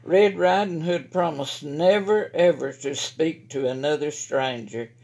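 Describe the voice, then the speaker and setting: Woman, Southern American accent, children's read-aloud, slow storytelling pace, library reader. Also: low-pitched, darkish tone. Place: library room, indoors at a table.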